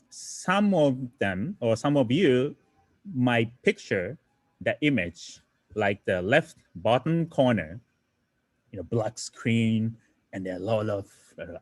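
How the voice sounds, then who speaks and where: A man from Japan, a native Japanese speaker, gives an online programming lesson in English. His pitch is lively, and he keeps asking the audience questions and correcting himself as he explains. The recording is dry and close. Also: dry recording. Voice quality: hesitant, frequent fillers and self-corrections. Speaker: coding teacher.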